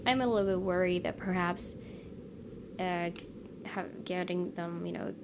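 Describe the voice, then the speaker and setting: A woman's voice: on the low side, steady tone, a little slow. A woman hosting a gaming video, reading a visual novel aloud expressively and slipping into casual asides. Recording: noisy background.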